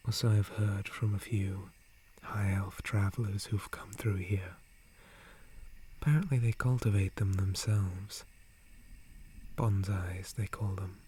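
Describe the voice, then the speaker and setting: Guy doing a soft whispery dryad tree spirit, low and mellow, super polite and unhurried, asmr roleplay. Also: slight background noise. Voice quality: breathy whisper. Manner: formal, courteous, hesitant, softly hushed. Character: gentle, polite male dryad, forest guardian tree spirit.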